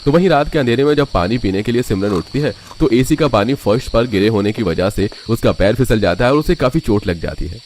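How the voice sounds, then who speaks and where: A man's voice: medium pitch, lively intonation, brisk, hardly any pauses, darkish tone, slight background noise. A man reading scripted narration for a cartoon family story as the storyteller.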